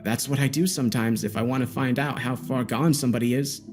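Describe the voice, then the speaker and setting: A man's voice: mid-range voice, steady tone, quick pace, lightly acted. A man voicing a nervous, defensive young male gamer under interrogation in a dramatic audio story. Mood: defensive, agitated. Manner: agitated, defensive, self-justifying. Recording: background music behind the voice.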